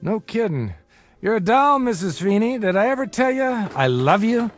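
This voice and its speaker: An actor playing a boozy middle-aged small-time bookie in an audio drama, a glib, self-deprecating charmer and doting father given to theatrical flattery. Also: sits high, normal speed, faint music behind. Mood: flustered, charming.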